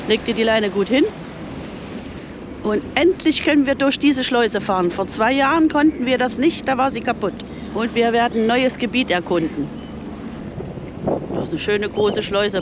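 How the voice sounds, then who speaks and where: A woman's voice: a little high, moderate pace, background noise. A woman chatting casually about the lock and the harbor while out on a boat. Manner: chatty, comments with "ne?". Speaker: boat vlogger. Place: outdoors on a boat.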